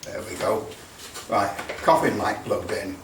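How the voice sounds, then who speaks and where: A man in his sixties giving a casual hands-on explanation, rambling and haltingly thinking aloud as he goes. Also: fairly low pitch, fairly even intonation, gravelly. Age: 60s. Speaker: radio repair video maker. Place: small indoor workshop with block walls. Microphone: camera mic at a distance. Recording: roomy, distant mic, background noise.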